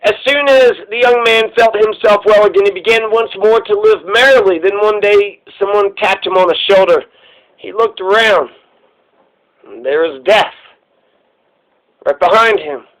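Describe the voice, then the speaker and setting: A man's voice: high voice, unhurried, fairly bright tone, poor audio. A man reading a fairy tale aloud in a theatrical, irreverent way, breaking off for sweary casual asides. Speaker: storyteller.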